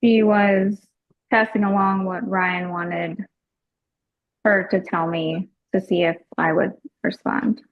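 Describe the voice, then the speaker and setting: A woman testifying as a witness, giving terse, measured formal answers. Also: lowish voice, little pitch movement, phone-quality sound.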